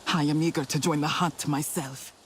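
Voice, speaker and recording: Guy as a formal earnest hunter from a tribe in a game, highish voice, some noise. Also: fairly even intonation, normal speed, natural voice. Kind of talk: video game voice acting.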